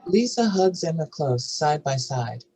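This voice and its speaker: A woman's voice: sits low, sing-song, unhurried, mellow, husky. A woman reading stage directions as scripted narration for a drama table read.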